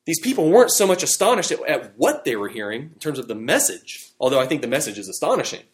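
A man's voice: mid-range voice, sing-song, quick pace. A man teaching an evening Bible class to a live group, talking it through in a conversational way, often checking in with "okay" and "right.". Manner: conversational, thinking aloud with fillers and checking okay.

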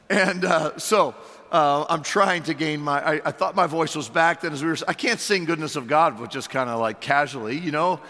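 Hoarse pastor preaching in a reverberant hall. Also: male voice, a little high, moderate pace, pushed and bright. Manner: earnest preaching with rhetorical questions and fillers. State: hoarse, losing his voice. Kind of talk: sermon. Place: church sanctuary.

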